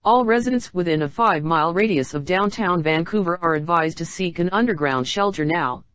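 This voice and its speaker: A woman's voice: fairly low pitch, brisk, no room sound, dull audio. A woman reading a scripted emergency broadcast announcement in a formal, instructional manner.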